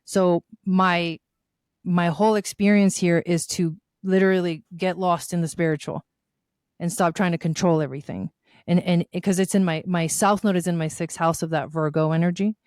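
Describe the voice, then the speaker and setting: A woman's voice: fairly low pitch, fairly even intonation, moderate pace, a little mellow, no room sound, clean studio sound. A woman from Argentina, a guest on a podcast, talking in an enthusiastic, chatty way with frequent fillers and restarts. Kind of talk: casual conversational interview.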